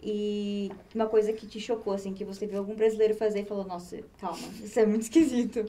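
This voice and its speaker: Female student interviewer, rapid and effusive, mellow voice, picked up from a distance. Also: mid-range voice, steady tone. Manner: warm, effusive, thankful. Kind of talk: casual interview questions. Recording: voice distant from mic.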